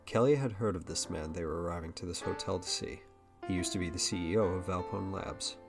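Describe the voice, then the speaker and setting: A man's voice: low voice, creaky. A man reading scripted narration for an audio drama. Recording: guitar music behind the voice.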